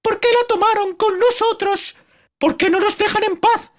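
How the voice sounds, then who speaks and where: A man's voice: fairly even intonation, moderate pace. A man voicing a frightened, protesting woman in a fantasy audiobook, crying out and pleading. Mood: distressed. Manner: shrill, pleading cries.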